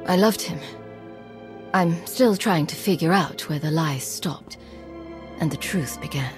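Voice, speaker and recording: An actress playing a steely alliance commander and wounded lover in a dramatic video game, earnest and measured. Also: female voice, deep voice, soft background music. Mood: sorrowful.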